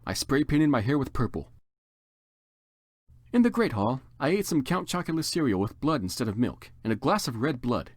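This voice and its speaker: A man's voice: mid-range voice, big pitch swings, moderate pace, darkish tone, no echo, studio quality. A man giving a theatrical, mock-serious dramatic reading of fan fiction as the narrator. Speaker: reader.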